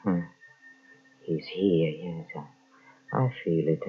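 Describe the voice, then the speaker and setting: Haunted madman, melodramatic, halting and slow, husky, muffled old radio horror recording. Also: low voice, steady tone, faint music behind, full character voice. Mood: hushed, scheming. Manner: halting, breathless repetitions, melodramatic. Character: haunted Frenchman descending into madness, obsessed with invisible being. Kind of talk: old-time radio horror drama monologue. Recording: muffled old radio drama cassette transfer.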